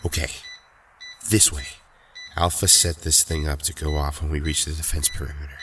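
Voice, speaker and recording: An actor playing a cool, wry young superhero in an audio drama, talking in a laconic, casual way. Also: male voice, rumbling low, little pitch movement, moderate pace. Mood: matter-of-fact. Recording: faint background noise.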